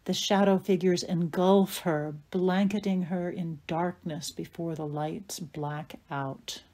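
A woman's voice: lowish voice, steady tone, takes it slowly, mellow. A woman giving scripted narration, reading out stage directions for an audio play.

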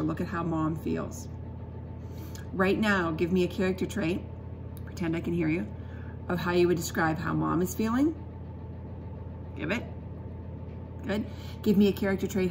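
A middle-aged woman reading a children's book aloud as a teacher, expressive, emphatic and instructive. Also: lowish voice, vocal fry. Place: indoors in a school classroom. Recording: classroom recording with background noise.

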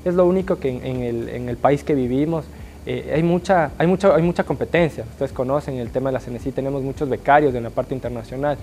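Earnest young man with a highland accent. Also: quick pace, a little mellow, slight background noise. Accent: Ecuadorian highland (serrano). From Guayaquil, Ecuador. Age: young adult. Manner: earnest, upbeat, with frequent restarts and fillers. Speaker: alumnus interviewee. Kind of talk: sit-down testimonial interview. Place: small studio set.

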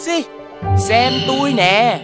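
Male wind spirit, cartoon dub, very high voice, swooping intonation, teasing, competitive, Southern Vietnamese accent. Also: expressive, slow, full character voice. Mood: boastful, playful. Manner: teasing, boastful, exclamatory. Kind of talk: children's animated dubbing. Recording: music behind the voice.

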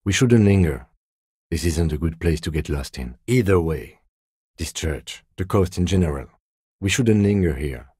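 Reserved game detective, very low voice, dark and mellow, formal, deadpan, dryly courteous. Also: male voice, moderate pace, breathy edge, no echo, clean studio sound. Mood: cautious, quietly insistent. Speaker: voice actor. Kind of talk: video game dialogue acting.

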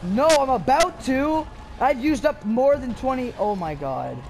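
A man from Canada giving casual gameplay commentary, excitable and swearing, a little hoarse from yelling. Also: extremely high pitch, a little slow, music in the background. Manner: excitable, swears and yells at the game. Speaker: gameplay streamer.

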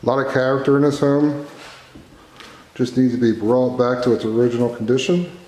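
A man's voice: mid-range voice, a little fast, a little mellow. A man casually narrating a walk-through tour of a property as the agent showing it. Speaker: real estate agent giving a house tour. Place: empty rooms of a house under renovation. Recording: echoey empty house, clear background noise.

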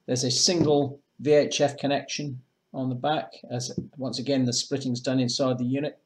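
A man presenting marine electronics in a measured, matter-of-fact way, as in a semi-scripted product demonstration. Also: medium pitch, level tone. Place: indoors, small room with block walls at a table.

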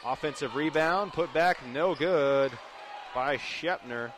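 Higher-pitched young man calling basketball on radio. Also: slow, fairly bright tone. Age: young adult. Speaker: sports play-by-play announcer. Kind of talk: live radio basketball commentary. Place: indoor high school basketball gym. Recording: clear gym noise behind commentary. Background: game noise, bouncing basketball.

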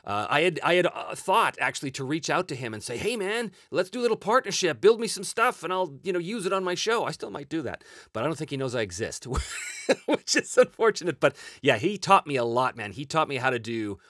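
A man's voice: on the high side, very animated, a little fast, sharp tone, dry recording, clean studio sound. A Canadian man in his forties, a host answering viewer questions in a rambling, chuckling casual chat from a small home room. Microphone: headset-style, webcam framing.

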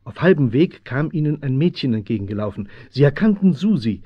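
A man as the measured, suspenseful narrator of a German children's radio play, with a husky voice. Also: medium pitch, sing-song, no room sound. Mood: storytelling. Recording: muffled 1970s radio play recording.

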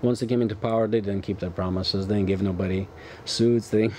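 Earnest Romanian-accented man, dark tone. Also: on the low side, fairly even intonation, a little fast, a little hiss or hum. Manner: earnest, explanatory, many fillers. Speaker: guest, author's son. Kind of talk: studio interview. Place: dark TV studio set, indoors.

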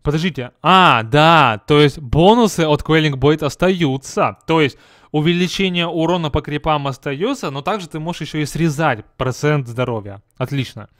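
A man's voice: a little high, normal speed, fairly bright tone, no echo, studio quality. Chatty young man reviewing a game patch. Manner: joking, chatty, asks the viewer rhetorical questions. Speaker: gaming video host. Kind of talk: casual patch review commentary.

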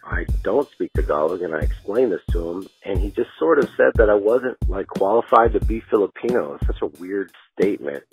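A man's voice: low voice, animated, brisk, hardly any pauses, poor audio. A man from Southern California leaving a voicemail, telling a story in a hesitant, casual way. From Inland Empire, California. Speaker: voicemail caller. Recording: voicemail with music underneath.

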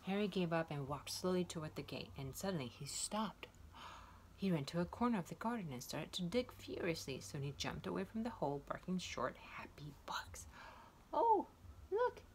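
A young woman reading a picture book aloud to small children in a playful, expressive storytelling style with little asides. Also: mid-range voice, slight background noise, poor audio. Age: young adult. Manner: playful, expressive, exclaiming and questioning. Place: indoors, wood-ceilinged room.

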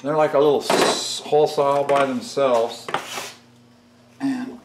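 A man's voice: medium pitch, fairly even intonation, a little slow, vocal fry. A middle-aged man thinking aloud as he narrates a hands-on build, folksy and self-deprecating, half muttering to himself and the viewer. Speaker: DIY workshop host. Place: indoor garage workshop. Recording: echoey workshop, clattering tool noise.

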